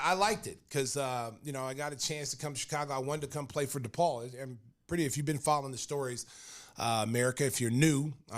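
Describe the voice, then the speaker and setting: An older man from Oklahoma hosts a sports podcast and talks loosely, piling on fillers and restarts as he spins stories. He laughs his way through anecdotes and sounds animated and at ease. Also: mid-range voice. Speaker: podcast host, former pro basketball player. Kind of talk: casual sports talk and storytelling.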